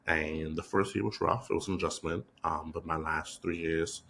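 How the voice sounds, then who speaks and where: A young man from the Bahamas, a PR agency president, answering interview questions in a measured, reflective way with frequent fillers. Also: rumbling low, steady tone, vocal fry, sharp tone, a little hiss or hum.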